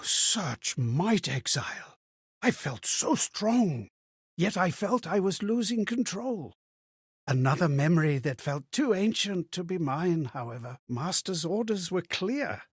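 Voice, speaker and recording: Husky, haunted man in a fantasy game. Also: sits high, no echo, clean studio sound, full character voice. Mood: anxious wonder. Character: anxious, amnesiac male wanderer reliving strangers' memories. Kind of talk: theatrical video game character monologue.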